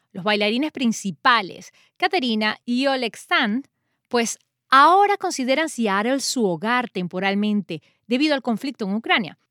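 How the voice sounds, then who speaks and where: A woman presents a scripted radio segment at a measured pace. Her voice sits a little high and is recorded very dry and close, with no room sound at all. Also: bright, forceful tone, no echo. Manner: measured, script-reading delivery. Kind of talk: read news segment.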